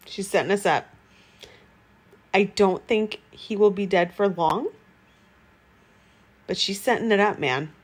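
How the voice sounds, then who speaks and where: A woman in her thirties chatting casually and emphatically to her phone at home, reacting in an animated, informal way. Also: medium pitch, unhurried, long pauses, husky. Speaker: book vlogger giving reading reactions. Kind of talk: casual reaction chat to camera. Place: small room at home, indoors. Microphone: phone at arm's length.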